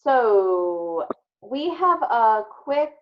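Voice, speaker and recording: A woman's voice: a little high, very animated, very slow. A woman teacher leading an online class discussion with children in an encouraging, affirming manner. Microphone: video call. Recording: dull, lo-fi video call sound.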